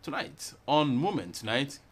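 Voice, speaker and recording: A man's voice: mid-range voice, big pitch swings, moderate pace. A man in his thirties hosting a TV studio interview, asking probing questions and often repeating words as he restarts. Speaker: TV talk show host. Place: TV studio set.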